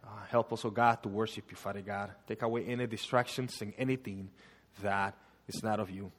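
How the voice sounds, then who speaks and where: A man's voice: on the low side, steady tone, a little fast, long reverb tail. A man in his thirties from Panama with a Panamanian Spanish accent, preaching and praying in church with frequent fillers. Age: 30s. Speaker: guest preacher. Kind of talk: sermon and prayer. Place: church sanctuary.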